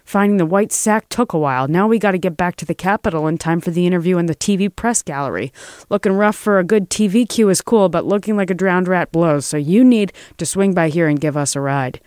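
A man's voice: high-pitched, fairly quick, darkish tone, clean tone, dry recording, clean studio sound, voice pushed up, natural voice. A man voicing a pushy, slangy male political aide with an urgent, irritable manner in a story reading.

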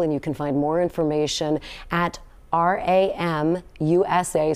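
A woman's voice: fairly low pitch, a little mellow. A middle-aged woman anchoring a TV news segment in a studio on a lapel mic, upbeat and emphatic as she interviews guests.

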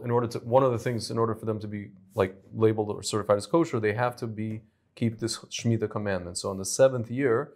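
A young man, a wine importer guest, calmly and patiently explaining in a casual studio chat on a lapel mic. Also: on the low side. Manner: calm, explains patiently with small restarts. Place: studio set with vineyard backdrop.

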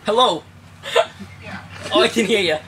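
A man's voice: unhurried, sharp tone. A young man putting on the voice of a cranky old war veteran making a prank phone call. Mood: loud, insistent. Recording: noisy moving bus, engine rumble.

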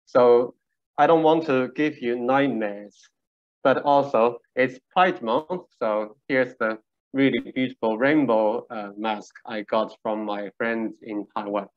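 A man from Shanxi, China, whose first language is a local Chinese dialect, talking through a face mask on a video call, relaxed and gently joking, with many filler pauses. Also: mid-range voice, lo-fi sound. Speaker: guest speaker. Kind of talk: casual talk on a video call. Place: small room indoors. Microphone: video call with earphone mic.

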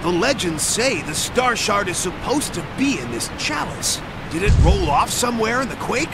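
An actor playing a gruff, earnest young echidna treasure guardian in a video game cutscene. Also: male voice, high-pitched, sing-song, normal speed, heavy background noise. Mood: alarmed, worried. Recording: loud game soundtrack over voice.

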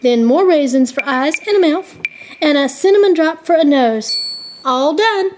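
A woman's voice: sits high, expressive, normal speed, a little mellow, slight background noise. A woman voicing a sweet little old wife in a children's puppet show, cooing and singsong, with music playing underneath. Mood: pleased, busy.

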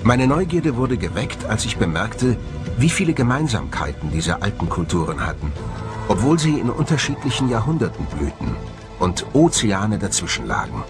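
Low-voiced male documentary narrator, lively intonation. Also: moderate pace, music in the background.

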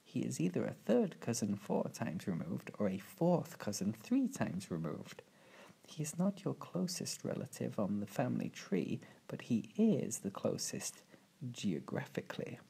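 A man's voice: medium pitch, huge pitch swings, measured pace, gravelly, dark tone, slightly rough, full character voice. A man voicing a busy, stiff middle-aged banker in a storybook, formal and matter-of-fact. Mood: explaining.

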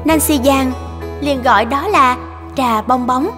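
A woman's voice: high voice, measured pace, big-room sound, over music. A woman narrator reading scripted storybook narration.

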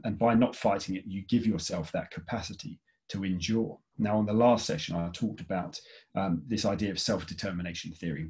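A man in his forties giving tips on a webinar from his home study, in a calm, measured way, often checking in with "okay?". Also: deep voice. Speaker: webinar speaker. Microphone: video call webcam.

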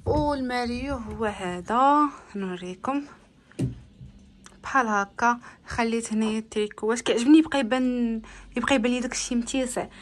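A woman's voice: fairly high pitch, brisk, long pauses. A woman in her twenties with a Moroccan accent, chatting casually to the camera in an informal home vlog, with French loanwords mixed in. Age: 20s. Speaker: lifestyle vlogger. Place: indoors in a bedroom at home. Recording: home recording with clear background noise.

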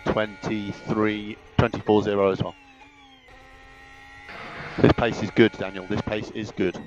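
A man speaking as a race engineer over team radio, giving clipped, measured instructions and repeating the key calls. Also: fairly low pitch, little pitch movement, muffled recording, slight background noise. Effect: team radio transmission.

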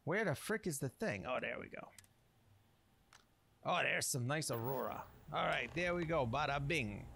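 A man commentates casually on a game in a slangy, folksy way. His fairly high voice swings animatedly, with some gravelly fry, as he mutters along. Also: expressive, moderate pace, sharp tone, slight background noise. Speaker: gameplay streamer.

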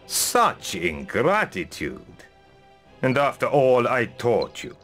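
An actor playing a blunt, grumbling old military mentor in a video game, sardonic in manner. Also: male voice, medium pitch, wildly swooping pitch, slow pace, a bit bright, exaggerated voice. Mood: mock-offended. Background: music.

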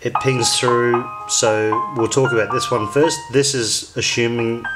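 A man's voice: medium pitch, steady tone, a little slow, loud music behind the voice, rough recording. A man talking casually through a how-to demonstration in an informal, explanatory way. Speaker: amateur tutorial maker.

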